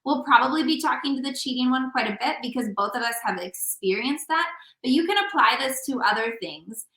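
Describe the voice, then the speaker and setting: A woman's voice: high-pitched, animated, fairly quick, fairly bright tone. A woman hosting a relationship podcast as an intimacy coach, talking casually and guiding the talk, a little scattered. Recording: echoey room.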